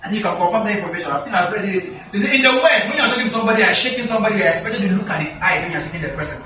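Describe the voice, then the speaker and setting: Nigerian male preacher, high and bright, heavy hall echo, distant mic. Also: expressive, very fast. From northern Nigeria. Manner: asks the congregation questions often. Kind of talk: sermon with call and response. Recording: echoey, distant, slightly muffled hall recording.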